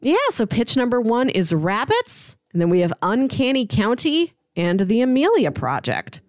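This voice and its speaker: A woman's voice: fairly high pitch, big pitch swings, moderate pace, dry recording, clean studio sound. A woman co-hosting a podcast in casual conversation. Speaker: podcast co-host.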